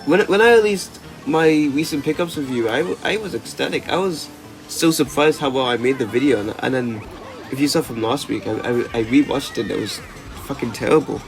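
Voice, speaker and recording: A man's voice: mid-range voice, brisk, low-quality recording. Young guy vlogging, rambly and stammery, swears a lot, bit of music behind. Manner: rambling, stammers and restarts, frequent "like", swears casually. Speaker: YouTuber. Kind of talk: casual vlog talk.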